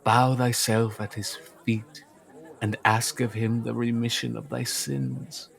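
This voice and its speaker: Very slow, wrathful prophet. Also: male voice, medium pitch, little pitch movement, vocal fry, fairly bright tone. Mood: solemn rebuke. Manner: solemn, declamatory. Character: stern, wrathful male prophet, severe and doom-laden. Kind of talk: theatrical audio drama acting.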